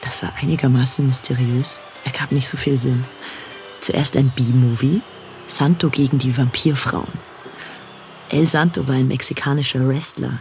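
An actor playing a matter-of-fact, measured male narrator in a scripted radio drama. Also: a little high, a little hiss or hum, natural voice. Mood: intrigued, factual.

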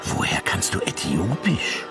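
An actress playing a puzzled, astonished woman in a radio play, questioning and halting in her speech. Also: a bass voice, sing-song, creaky, echoey room, far from the mic, music in the background, full character voice. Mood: bewildered. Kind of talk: German radio play acting.

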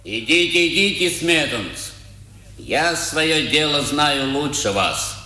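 An officious prison warder in an old Soviet radio drama. He is sarcastic and impatient, with a fairly high, very sharp voice that bounces off the walls. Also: lively intonation, slow, reverberant, full character voice. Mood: impatient, sardonic. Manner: sardonic, impatient.

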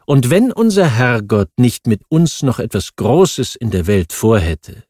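Mellow male audiobook narrator. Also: mid-range voice, dry recording, clean studio sound. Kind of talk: scripted narration of non-fiction history.

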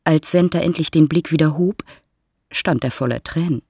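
A man narrating a literary audiobook in a measured, dramatic storytelling manner. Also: a little high, a little mellow, husky, no room sound, clean studio sound.

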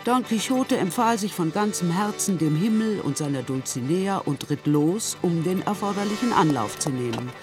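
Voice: mid-range voice, lively intonation, moderate pace, hardly any pauses, lightly acted. A narrator telling an adventure story in a dramatic radio play style. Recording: loud music under the voice. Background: loud music.

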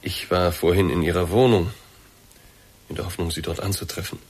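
Polite male investigator in a radio play, standard german, very low raspy voice, formal and probing. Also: steady tone, a little fast. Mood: measured, probing. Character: courteous, measured male investigator, quietly probing. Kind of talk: German radio drama acting.

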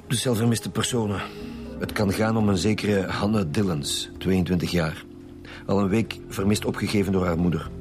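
A man's voice: sits low, steady tone, moderate pace, music in the background, lightly acted. An actor playing a terse, wary male chief inspector with clipped authority and a Flemish accent, in a radio drama. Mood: curt.

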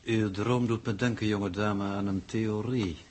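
An actor playing a formal, pedantic and condescending coordinator in a 1960s radio play, with stilted, precise phrasing. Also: male voice, lowish voice, fairly even intonation, measured pace, a little mellow, a little hiss or hum, lightly acted. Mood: lecturing.

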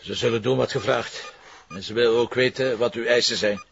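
An actor with a Flemish accent playing a police commissioner in a TV crime drama, plain-spoken and urgent. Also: male voice, medium pitch, steady tone, fairly quick, vocal fry, natural voice. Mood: tense. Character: male police commissioner, desperate to save his wife. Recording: muffled, noisy TV drama rip.

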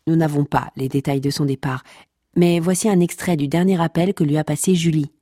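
An actress playing a droll narrator of a comic saga, wry and mock-solemn, speaking straight to the listener. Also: female voice, low voice, steady tone, brisk, dark tone, dry recording, clean studio sound. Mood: chatty, insistent. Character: droll, mock-epic female storyteller of a pasta-cult saga.

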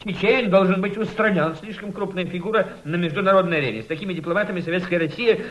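A man's voice: sits high, quick pace, fairly bright tone. An actor playing a bitter, agitated dispossessed Russian émigré nobleman in a Soviet radio drama, overwrought and theatrical. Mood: agitated, vengeful. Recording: dull lo-fi radio play recording.

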